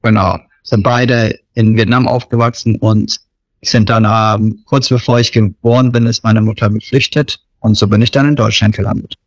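A man in his thirties from Germany, talking casually about his missionary work in an interview, often pausing with fillers. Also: on the low side, steady tone, no room sound. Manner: hesitant, many fillers and restarts.

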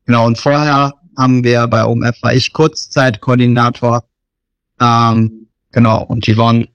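A man in his thirties from Germany, talking casually about his missionary work in an interview, often pausing with fillers. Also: medium pitch, level tone, fairly bright tone, clear, resonant tone, dry recording, highs cut off. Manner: hesitant, many fillers and restarts.

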